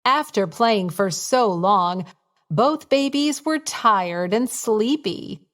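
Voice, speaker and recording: A woman reading a scripted bedtime story for young children in a simple, expressive storytelling manner. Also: mid-range voice, takes it slowly.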